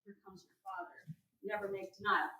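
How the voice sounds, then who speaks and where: Woman as cocky shakespeare suitor, bright high voice, declaiming big, really echoey room. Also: moderate pace, close to an everyday voice. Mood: bold, insistent. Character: brash, bold male suitor bent on taming his bride. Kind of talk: staged reading of Shakespearean comedy. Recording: very echoey room, far from mic.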